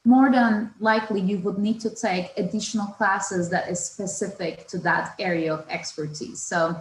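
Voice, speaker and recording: A woman's voice: mid-range voice, steady tone, normal speed, roomy sound, low-quality recording. A woman from Turkey moderating an online panel, asking thoughtful open questions.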